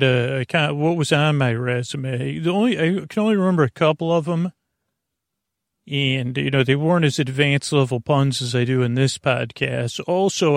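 A man hosting a podcast in a casual, meandering monologue, halting often and trailing off mid-thought. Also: medium pitch, vocal fry, dry recording, clean studio sound. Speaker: podcast host.

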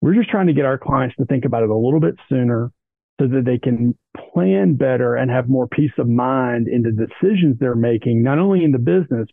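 A man, a business advisor and interview guest, explaining finances in a measured way, often saying "you know" and "kind of". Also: medium pitch, creaky, slightly rough, no room sound. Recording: dry tinny phone-like line.

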